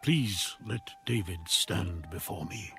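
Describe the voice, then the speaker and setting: A man playing a troubled, commanding biblical king with gravelly, measured speech in an audio Bible drama. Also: deep voice, sharp tone, exaggerated voice. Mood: weary, commanding. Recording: music behind the voice.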